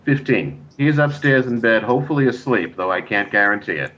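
A middle-aged man talking casually as an interview guest, halting and self-deprecating. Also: medium pitch, animated. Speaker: guest, audio drama writer. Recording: dull lo-fi line.

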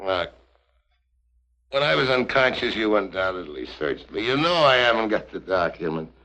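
An actor playing a tough, wisecracking American agent with a wry, slangy manner in an early 1950s TV spy drama. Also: male voice, on the low side, big pitch swings, normal speed, bright, forceful tone, full character voice. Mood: exasperated. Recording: muffled vintage 1950s TV soundtrack.

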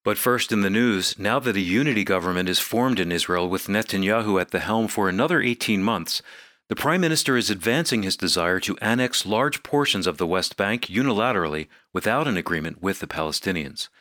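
A man's voice: fairly low pitch, brisk, bright, forceful tone, dry recording, studio quality. A man with an American accent reading scripted announcements as co-host of a radio program.

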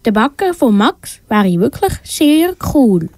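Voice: mid-range voice, big pitch swings, slow pace, a little mellow, dry recording. A young female performer playing an earnest little mouse boy in a children's audio play. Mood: wistful.

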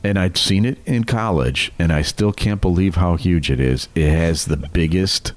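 A middle-aged American man co-hosting a comedy podcast, telling stories casually in a teasing, animated way. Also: sits low, normal speed, no room sound. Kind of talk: casual comic storytelling.

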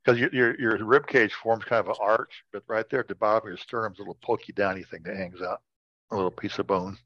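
A man's voice: lowish voice, fairly even intonation, fast talker, fairly bright tone. Joking man in a dull, echoey recording. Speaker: panelist on a word-guessing segment. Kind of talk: casual group banter. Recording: dull lo-fi sound, roomy echo.

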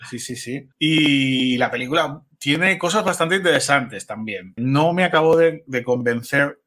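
A man's voice: medium pitch, unhurried, fairly bright tone. A man with a Spanish accent from Spain, chatting casually as an interview guest, explaining things in an agreeable way and often repeating short confirmations. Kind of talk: casual film-festival chat.

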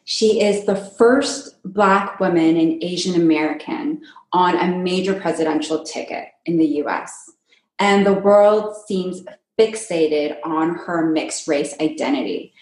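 A woman co-hosting a podcast, reading introductions and asking questions clearly. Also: on the low side, reverberant, poor audio. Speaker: podcast co-host. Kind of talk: podcast interviewing.

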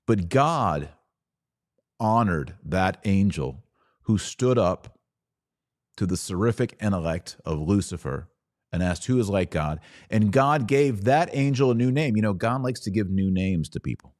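A man hosting a podcast, giving a religious lecture in an emphatic, didactic manner with dramatic pauses. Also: on the low side, lively intonation, a little mellow, dry recording, clean studio sound. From Texas. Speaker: podcast host.

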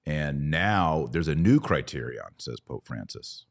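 A man with a very low voice hosts a religious podcast. He speaks conversationally but emphatically, building arguments with rhetorical questions and quoted passages. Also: sing-song, measured pace. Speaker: podcast host. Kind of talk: conversational religious commentary with read-out quotations.

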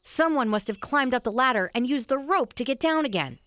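High, animated young boy. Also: fairly quick. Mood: practical, sceptical. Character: Ben, young boy, practical, sceptical amateur sleuth. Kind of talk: scripted family audio drama.

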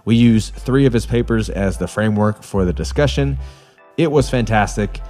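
A man's voice: lowish voice, moderate pace, darkish tone, soft background music. A man hosting a podcast, reading an upbeat scripted intro and then chatting casually with jokes at his own expense. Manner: upbeat, joking, self-deprecating. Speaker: podcast host.

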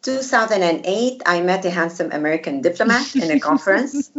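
Egyptian woman with a measured, halting delivery. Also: on the low side, expressive, dull audio. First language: Arabic. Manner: measured, frequent "uh" hesitations. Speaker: guest, former diplomat. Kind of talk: interview answers.

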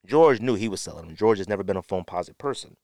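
Male American podcast host, lower register, dry recording, casual slangy storyteller who acts out dialogue. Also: quick pace, no echo. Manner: animated storyteller acting out quotes, slangy.

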